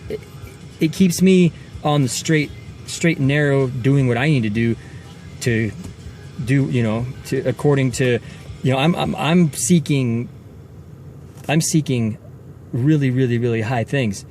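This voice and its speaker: A man's voice: medium pitch, unhurried, pauses often, a little mellow, soft background music. Rambling man in his forties, car vlog. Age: 40s. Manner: rambling, repeats words and restarts often. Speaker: fitness vlogger. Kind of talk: casual talk to camera. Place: inside a car at night.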